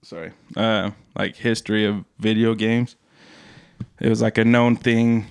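A man's voice: lowish voice, little pitch movement, unhurried, gravelly, clear, resonant tone, no echo. A young man telling rambling stories in casual chat, laughing and swearing, tired from staying up all night.

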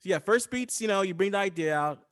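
A man with a fairly high voice talks casually. He explains in a loose, rambling way, stopping and restarting his own thoughts. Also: expressive, fairly quick, fairly bright tone. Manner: rambling, self-interrupting, loose. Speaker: improv comedian on a podcast.

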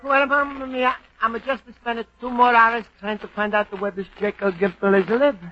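A man's voice: extremely high pitch, fairly even intonation, normal speed, faint music behind. An actor playing a homesick, earnest Italian immigrant man in a radio comedy, speaking broken English with an Italian accent and frequent exclamations. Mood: wistful. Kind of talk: 1940s radio acting. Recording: dull old radio broadcast with faint hiss.

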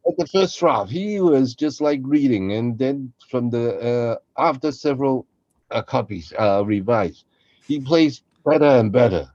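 Mellow low guy, halting chatty podcast host, dry slightly muffled recording. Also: expressive, dry recording. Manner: halting, repeats phrases. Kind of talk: casual behind-the-scenes chat. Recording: slightly dull, lo-fi sound.

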